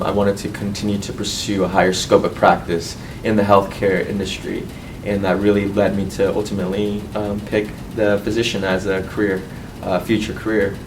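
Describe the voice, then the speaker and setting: Male student, twenties, earnest and halting testimonial, fairly low voice, off-mic sound with faint noise. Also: recorded from a distance. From Colombia, raised in California. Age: 20s. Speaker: medical student and university board representative. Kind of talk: personal testimonial to camera.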